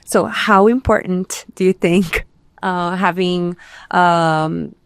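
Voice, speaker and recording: Slow, low-voiced woman asking questions. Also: fairly even intonation, clear, resonant tone, dry recording. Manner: measured, hesitant questions. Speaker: English teacher. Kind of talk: casual podcast chat in English.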